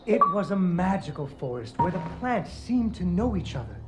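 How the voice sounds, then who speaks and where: A playful dad narrating a fairy tale for his little girl in a video game. His delivery is expressive, with a very lively pitch that swoops up and down. Guitar music plays behind him. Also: normal speed. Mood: playful storytelling. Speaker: storyteller. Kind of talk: animated bedtime-story narration in a video game.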